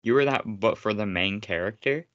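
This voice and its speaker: A man's voice: on the low side, normal speed, fairly bright tone, no room sound, muffled recording. A man co-hosting a casual podcast, rambling and teasing his friend with frequent laughter. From United States. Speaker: podcast co-host. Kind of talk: casual chat.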